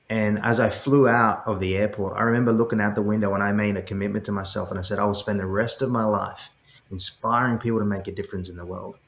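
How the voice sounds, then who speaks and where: Passionate Australian man, lowish voice. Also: echoey room. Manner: passionate, repeats words when starting sentences. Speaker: guest, startup CEO. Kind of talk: earnest interview conversation.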